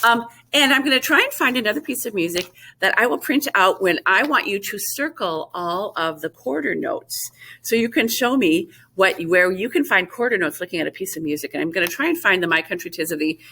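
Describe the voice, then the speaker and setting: A woman's voice: medium pitch, expressive, fairly quick, pushed and bright. A woman music teacher giving a recorded lesson to young children, explaining patiently step by step. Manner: sings short phrases to show melody.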